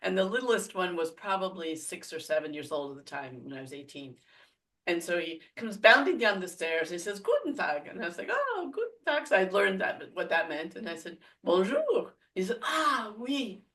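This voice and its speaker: A woman's voice: fairly low pitch, moderate pace, far from the mic. A woman from France, a podcast guest, telling personal stories in casual chat and laughing often. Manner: laughs often, animated storytelling. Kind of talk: casual conversational storytelling.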